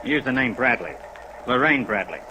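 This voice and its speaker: A man playing a matter-of-fact sheriff's deputy in a 1950s radio drama, plainspoken and unhurried. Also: medium pitch, expressive, lo-fi sound, close to an everyday voice. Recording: noisy old radio recording.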